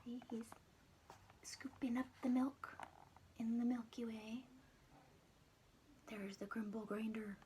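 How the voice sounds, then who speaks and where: A woman reading a storybook to young children as a preschool storyteller, dramatic and expressive. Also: fairly high pitch, slow, pauses often, background noise. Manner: dramatic, with whispered asides to young listeners.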